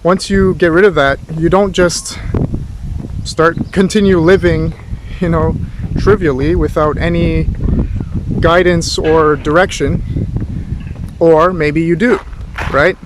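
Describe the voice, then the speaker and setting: Higher-voiced man tutoring over background noise. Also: steady tone, slow pace, long pauses. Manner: rambling, thoughtful, with rhetorical questions. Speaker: philosophy tutor. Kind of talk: informal spoken lecture.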